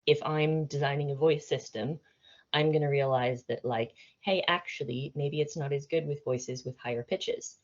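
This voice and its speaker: An American woman speaks to a business audience in an informal, conversational way with dry humour. Her voice has a husky, slightly rough edge. The recording of the live talk sounds dull and muffled. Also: low-pitched, moderate pace. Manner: conversational, wryly humorous. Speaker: conference speaker on AI.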